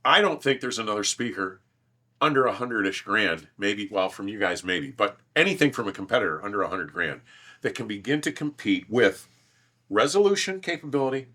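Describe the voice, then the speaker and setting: A man's voice: on the low side, sing-song, a little fast, pushed and bright. A man in casual, enthusiastic conversation as an audio reviewer hosting a show, speaking emphatically and with strong opinions. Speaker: host, audio equipment reviewer. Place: large, minimally treated listening room.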